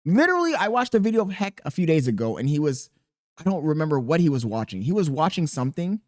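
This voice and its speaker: A man with a squeaky voice talking casually and profanely to camera as a content creator, rambling and animated. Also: quick pace, no room sound, studio quality. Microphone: podcast dynamic mic.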